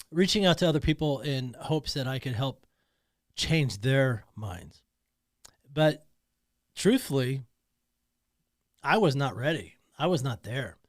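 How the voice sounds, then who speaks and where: A man's voice: on the high side, dry recording. A man talking alone in a podcast studio, emotional and emphatic, often repeating himself. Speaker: podcast host. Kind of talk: personal monologue.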